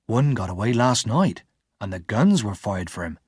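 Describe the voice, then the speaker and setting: A man doing a gentle big blacksmith. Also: on the low side, normal speed, breathy edge, no echo, clean studio sound. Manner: mild, calm. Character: huge gentle kind blacksmith, simple and quiet.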